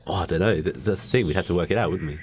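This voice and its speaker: A man co-hosting a podcast, pitching silly ideas in casual banter and stumbling over his words as he goes. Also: rapid-fire, background noise. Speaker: podcast co-host.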